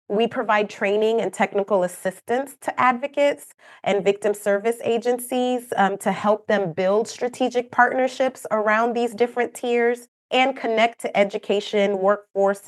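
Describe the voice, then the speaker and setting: Kenyan woman explaining earnestly. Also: mid-range voice. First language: not English. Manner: earnest, explanatory, with light laughs and asides. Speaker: program manager, guest expert. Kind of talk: podcast interview answer.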